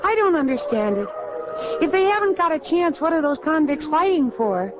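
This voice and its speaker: A wide-eyed young American sweetheart with a high, girlish voice. She is naive and tender in an old radio drama with dulled sound. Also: sing-song, normal speed, noisy background. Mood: naive wonder. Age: young adult. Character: naive, soft-hearted young sweetheart of a sailor. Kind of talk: 1940s radio drama acting. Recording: muffled vintage 1940s radio.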